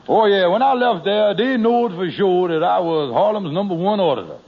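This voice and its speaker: An actor playing a boastful, smooth-talking schemer in a 1940s radio comedy, with a blustering manner and a muffled old broadcast sound. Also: male voice, high-pitched, animated. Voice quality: fast blustering dialect talk. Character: boastful scheming middle-aged man, smooth-talking con artist.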